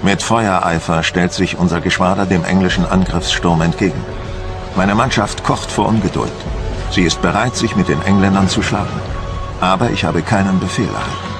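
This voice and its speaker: Deep-voiced, proud French admiral. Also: male voice. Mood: grave, urgent. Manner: solemn, theatrical, deliberate. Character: proud, embittered French admiral recounting his defeat. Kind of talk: dramatised documentary monologue. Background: music.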